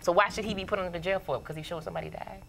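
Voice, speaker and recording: A woman on a studio panel arguing her point bluntly and quickly. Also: fairly low pitch, fairly even intonation, gravelly, a bit bright, slight background noise. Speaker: panel guest.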